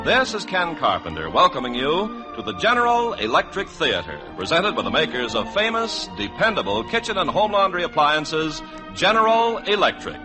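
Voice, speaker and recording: A man's voice: a little high, big pitch swings, normal speed. A man with an American accent announcing in a polished, emphatic, formal 1950s radio style. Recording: 1950s radio broadcast, music behind.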